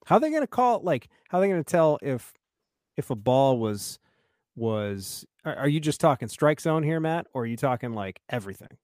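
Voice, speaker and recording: A man's voice: mid-range voice, sing-song, moderate pace, darkish tone, no room sound, clean studio sound. A man co-hosting a casual sports podcast, joking and playfully exaggerating, often restarting his sentences. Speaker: podcast co-host.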